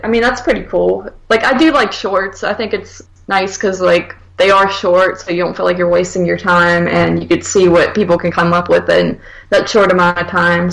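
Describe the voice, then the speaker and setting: A woman's voice: lowish voice, flat delivery, moderate pace, vocal fry, hardly any pauses, low-quality recording. A woman co-hosting a podcast, discussing films casually in an agreeable, informal way with lots of "like". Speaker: podcast co-host reviewing horror films. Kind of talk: casual conversational film discussion.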